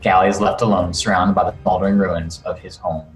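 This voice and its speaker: A man's voice: sits low, brisk, soft background music. Man reading stage directions, roomy video call. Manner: expressive, stumbles and breaks to comment. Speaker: script narrator at a table read. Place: remote video call from a room. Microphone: video call microphone.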